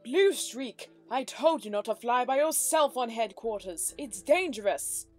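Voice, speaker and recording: A woman's voice: on the high side, expressive, a little slow, a little mellow. A woman voicing an authoritative female pony flight coach with a British accent, formal and commanding. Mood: stern. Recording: music under the voice.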